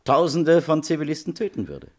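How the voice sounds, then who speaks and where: An actor playing a sardonic prosecuting attorney in a German radio play, questioning in a needling, deliberate and insistent way. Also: male voice, a little high, huge pitch swings, darkish tone. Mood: probing, insistent. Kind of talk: German radio play acting, courtroom interrogation.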